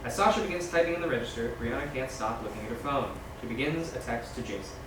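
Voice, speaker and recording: A man's voice: medium pitch, fast. A man reading scene directions as scripted narration at a screenplay table read. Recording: distant, very echoey room with background noise.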